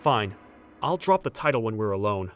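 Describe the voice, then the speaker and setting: Man playing animated, polite young junior employee. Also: on the low side, normal speed, soft background music. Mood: cautious, polite. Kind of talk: manga dub dialogue and first-person narration.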